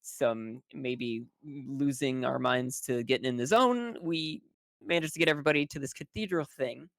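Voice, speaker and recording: The speaker has a mid-pitched voice in a dry recording with no room sound. They recap game events casually and haltingly, in wry, colloquial language peppered with swearing. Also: creaky, no echo. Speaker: tabletop role-playing game player. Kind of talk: casual, rambling spoken story recap.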